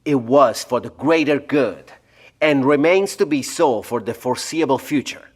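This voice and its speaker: A man's voice: medium pitch, lively intonation, normal speed, close to an everyday voice. Guy playing a blind doctor type, scholarly and precise, self-righteous and edgy, audio drama. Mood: smug, defensive. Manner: precise, formal, scholarly diction. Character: blinded, guilt-free scientist doctor, anxious and urgent.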